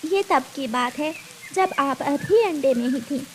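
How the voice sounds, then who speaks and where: A woman voicing an earnest, gentle mother bird in a children's cartoon. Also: high voice, lively intonation, measured pace, a little hiss or hum. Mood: earnest, reflective. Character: gentle, brave mother bird telling her daughter memories. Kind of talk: Hindi children's cartoon storytelling.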